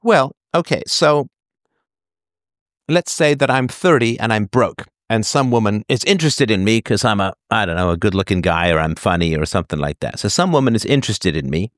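A man's voice: lowish voice, lively intonation, fairly quick, no echo, clean studio sound. A man in the role of an advice host, talking conversationally and explaining emphatically with analogies, often checking that the listener follows. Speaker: call-in show host giving advice.

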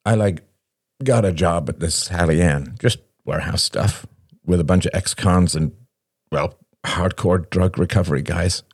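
A man voicing a bitter, ashamed recovering addict, a self-pitying younger brother who talks haltingly in an audiobook story. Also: deep voice, slightly rough, dry recording, clean studio sound. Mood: halting, uneasy. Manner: mumbling, halting, stammering, then bursting out.

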